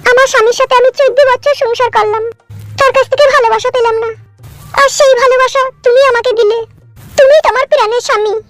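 A woman's voice: extremely high pitch, fairly even intonation, fast, loud music behind the voice. A woman voicing a saucy, flirtatious middle-aged bride with a teasing manner in a comedy cartoon. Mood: sweet, coy. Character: coquettish, saucy middle-aged bride eloped with her lover. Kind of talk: amateur comedy cartoon dubbing.

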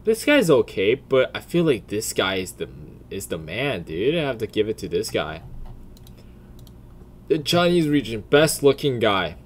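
A man giving casual off-the-cuff commentary in a crude, profane, blunt way, often deliberating out loud. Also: lowish voice, sing-song, unhurried, slight background noise. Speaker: video creator.

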